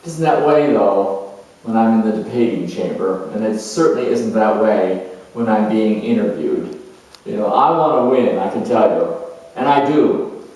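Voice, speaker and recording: A man playing a proud, charming, opinionated politician in a dramatized monologue, musing in a self-assured way with halting, emphatic delivery. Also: lowish voice, a little mellow. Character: proud, charming, opinionated male career politician turned world judge. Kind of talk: dramatized first-person character monologue. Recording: roomy echo, distant microphone.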